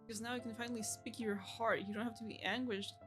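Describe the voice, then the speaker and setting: A woman's voice: a little high, steady tone, fast talker, a bit bright. A young woman streaming a game, reacting casually and empathetically in slangy speech and talking back to the characters. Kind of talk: casual live commentary over gameplay. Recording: game music behind the voice.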